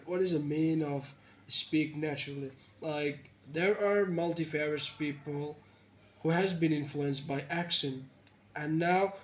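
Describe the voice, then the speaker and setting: Young man, earnest rambling tutor, monotone, phone-recorded, faint room hum. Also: fairly high pitch. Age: young adult. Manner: earnest, rambling with fillers and restarts. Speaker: English-speaking tips presenter. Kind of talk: casual spoken lesson to camera. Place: small indoor room, plain wall. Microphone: phone camera close up. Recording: echoey room with faint ambience.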